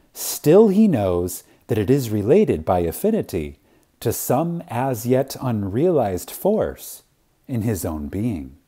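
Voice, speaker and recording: Slow, reverent man with a husky, mellow voice. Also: medium pitch. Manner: reverent, deliberate, with long pauses and emphasis, phrases trailing off softly. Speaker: reader of a spiritual lecture. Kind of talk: dramatic reading of an inspirational lecture.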